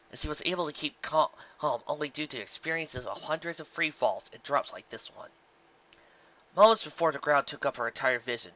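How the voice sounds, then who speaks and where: Very bright, forceful male story narrator. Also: medium pitch, muffled recording. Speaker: narrator reading fan fiction. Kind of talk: scripted dramatic story narration.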